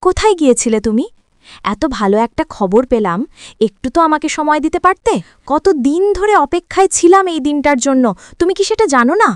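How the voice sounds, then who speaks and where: A woman's voice: sits high, lively intonation, fairly quick, no room sound, studio quality. An actress playing a young wife and mother in a cartoon drama, coaxing and easily aggrieved, with an insistent, emotive manner. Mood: peeved.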